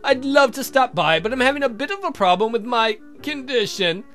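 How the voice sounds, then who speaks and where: A courtly vampire count acted by a man from a comic horror game, theatrical and exasperated. The voice is bright and high for a man, delivered with formal, dry wit over faint music. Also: animated, brisk, full character voice. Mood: polite. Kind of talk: visual novel character voice.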